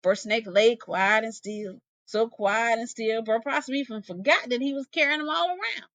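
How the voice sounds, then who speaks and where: A woman's voice: fairly high pitch, normal speed, fairly bright tone, dry recording. A woman narrating a folktale expressively, with folksy dialect phrasing and dramatic delivery. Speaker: storyteller, English teacher.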